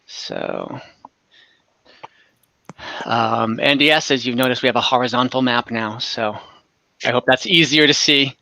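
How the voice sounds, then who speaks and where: A man working as game master, recapping a tabletop adventure in a halting, conversational way and correcting himself as he goes. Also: medium pitch, fairly even intonation, bright, forceful tone, dry recording. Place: online game session over voice and video call.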